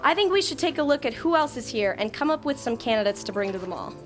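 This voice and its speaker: A woman's voice: sits high, expressive, quick pace, soft background music. An actress playing an imperious, sardonic young woman in a digital afterlife in an audio drama. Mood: wistful.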